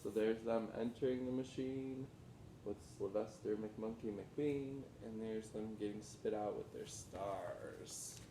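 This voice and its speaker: A man narrating a children's storybook aloud in an expressive, rhythmic storytelling delivery. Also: takes it slowly, recorded from a distance. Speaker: teacher reading aloud. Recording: roomy echo with background rumble noise.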